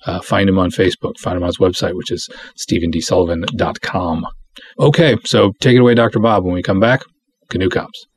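A man hosting a podcast solo in a casual, rambling, self-deprecating way, chatting and reading things aloud with a husky voice. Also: low-pitched, steady tone, brisk. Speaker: podcast host.

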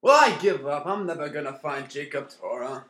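A man's voice: fairly high pitch, lively intonation, moderate pace, a bit bright. A man playing a flustered, earnest radio producer who stammers and hesitates, in a mock old-time radio play recorded in an echoey room.

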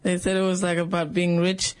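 Man, high pitch, monotone, halting speech, interview guest. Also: clear, resonant tone. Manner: halting, simple phrasing, leaning on "like" and "yeah".

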